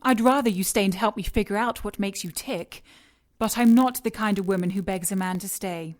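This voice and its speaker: Woman playing a poised scientist villainess, medium pitch. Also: fairly quick, natural voice. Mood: coolly persuasive. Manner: composed, formal, condescending. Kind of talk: audio drama acting.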